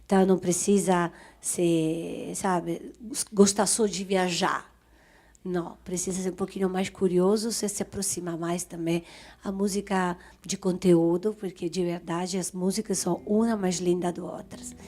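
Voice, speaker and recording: A woman's voice: lowish voice, little pitch movement, moderate pace, mellow. A woman singer answering questions as a guest in a TV studio, enthusiastic and sometimes searching for words. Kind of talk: interview answers.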